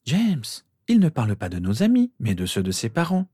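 A storybook mother played by a man, tender yet firm, affectionate and quick to sharpen into command. The voice is lifted high and a little husky, in a dry, close recording. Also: sing-song, dry recording, exaggerated voice. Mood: soothing. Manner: affectionate, sharp when giving orders. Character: Lily, tender but firm worried mother.

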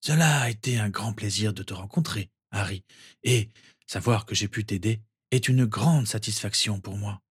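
A man reading aloud and voicing a kindly, mischievous old headmaster wizard, gentle and husky. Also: fairly low pitch, moderate pace, no room sound, clean studio sound.

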